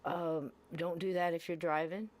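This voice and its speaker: A woman hosting a podcast live stream, chatting in a laid-back, easygoing way and keeping things low and mellow. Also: fairly even intonation, a little slow. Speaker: podcast host. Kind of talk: casual live-stream chat.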